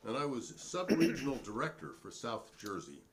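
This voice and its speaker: A man's voice: mid-range voice, big pitch swings, normal speed, lightly acted. A man playing a defiant, proudly principled hearing witness in a dramatic transcript reading, formal and pointed in manner. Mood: matter-of-fact, proud.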